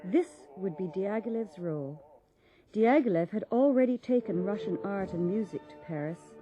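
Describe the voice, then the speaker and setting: A woman giving measured, formal scripted narration for a documentary. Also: medium pitch, lively intonation. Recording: dull lo-fi sound with faint music.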